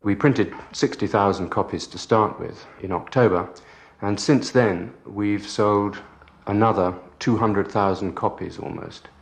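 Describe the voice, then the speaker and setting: A British man, a book publisher giving a measured, articulate and formal interview answer. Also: on the low side, creaky.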